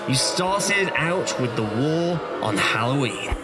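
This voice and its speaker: An actor playing a pompous, sneering British television news anchor in a satirical broadcast. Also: male voice, mid-range voice, animated, takes it slowly. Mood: pompous, scornful. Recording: loud music bed behind the voice.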